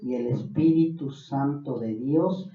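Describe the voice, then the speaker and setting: A man's voice: medium pitch, slow. A man with a Mexican accent preaching a Bible study sermon, earnest and building to fervent emphasis. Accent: Mexican Spanish. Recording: distant, dull, echoey room.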